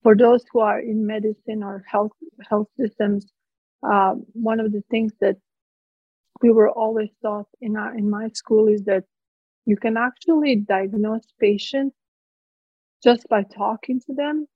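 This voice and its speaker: A woman's voice: medium pitch, steady tone, unhurried, husky, no room sound. A woman in her forties from Croatia with a Croatian accent, a physician earnestly explaining her approach in an interview, with frequent fillers and restarts. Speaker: physician guest. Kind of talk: conversational interview answers. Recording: dull, dry, slightly lo-fi sound.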